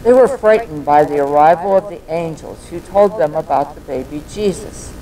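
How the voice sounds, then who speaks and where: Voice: medium pitch, animated, moderate pace, crowd noise, low-quality recording. An older person reading a scripted devotional reflection and personal anecdote as a storyteller.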